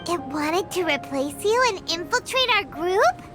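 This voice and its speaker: Tiny childlike fairy, extremely high squeaky voice, hugely animated, inquisitive, anime game style. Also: female voice, unhurried, full character voice. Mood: startled, curious. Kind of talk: scripted anime game acting. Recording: loud game music under the voice.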